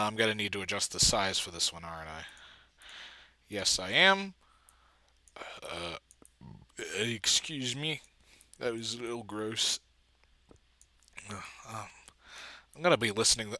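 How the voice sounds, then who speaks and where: Casting: male video editor, very bright and forceful tone, unhurried, halting, casual self-deprecating muttering. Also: fairly low pitch, no echo. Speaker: video editor and comic dubber. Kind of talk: casual thinking-aloud screen-recording commentary.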